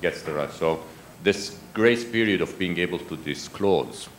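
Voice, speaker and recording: Male audience member, deep voice, friendly halting remark, faint hum behind. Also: expressive. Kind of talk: comment from the floor.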